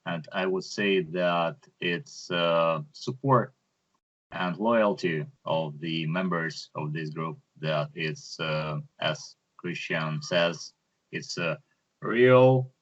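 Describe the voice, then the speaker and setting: Deep, slow-spoken man, thoughtful. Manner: measured and thoughtful. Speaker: English learner in an online conversation group. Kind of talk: casual chat. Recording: muffled online call.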